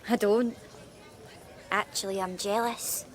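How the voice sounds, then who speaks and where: A woman's voice: medium pitch, steady tone, takes it slowly, some chatter behind, lightly acted. A woman playing a detached, flippant goth girl in her late teens in a radio drama. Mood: morbid, aloof. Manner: flippant, enigmatic, understated.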